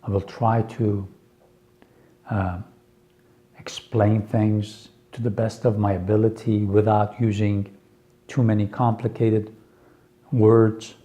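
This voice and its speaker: Farsi-native man, low dark voice, very slow earnest teaching delivery brief casting note. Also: little pitch movement, muffled recording. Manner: slow, earnest, hopeful, with many pauses and fillers. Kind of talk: slow lecture for English learners.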